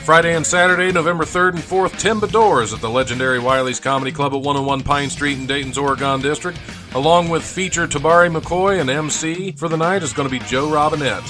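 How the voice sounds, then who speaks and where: A man's voice: a little high, fast talker, music in the background. An American man hosting a radio show with casual, rambling, self-deprecating comedy talk. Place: studio.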